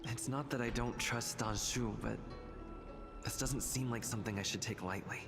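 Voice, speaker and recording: An actor voicing a wary male traveler thinking to himself in a video game, guarded and deliberate. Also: mid-range voice, fairly even intonation, close to an everyday voice. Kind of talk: video game character dialogue. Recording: long reverb, clear music behind.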